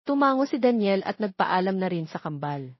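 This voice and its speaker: A woman's voice: mid-range voice, sing-song, normal speed, no room sound, muffled recording. A woman narrating a scripted romance audio story.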